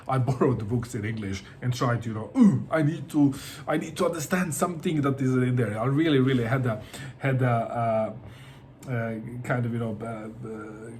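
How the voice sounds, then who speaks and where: A man's voice: mid-range voice, darkish tone, slight background noise. A middle-aged man from Bosnia, talking casually to camera about books, hesitant, with many fillers and repeated words. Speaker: book vlogger.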